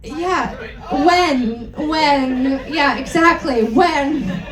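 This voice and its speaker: A woman performing a comedic dramatic reading on stage, with exaggerated emphasis, deliberate mispronunciations and joking asides. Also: high voice, crowd noise. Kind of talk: comedic dramatic reading of bad fan fiction. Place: indoor event stage on a cruise. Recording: roomy live venue, faint background noise.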